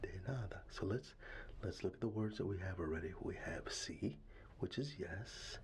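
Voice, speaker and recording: A man doing an ASMR fatherly roleplay, talking softly and half-whispering into a close microphone, tender, with halting restarts. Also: low-pitched. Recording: steady ambient background noise.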